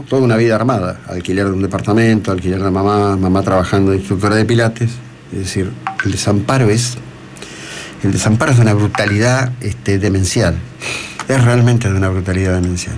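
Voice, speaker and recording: A man with an Argentine accent hosting live radio, speaking in halting phrases and repeating words for emphasis. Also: on the low side, steady tone, a little mellow. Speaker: radio host. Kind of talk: live radio interview and commentary. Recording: noticeable background noise.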